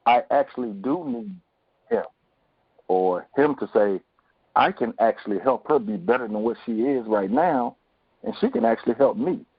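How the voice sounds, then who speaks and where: A man's voice: medium pitch, big pitch swings, normal speed, gravelly, husky, no room sound. A man giving his opinions reflectively as a guest in a conversational panel discussion. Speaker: podcast guest. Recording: tinny phone-like sound, very dry.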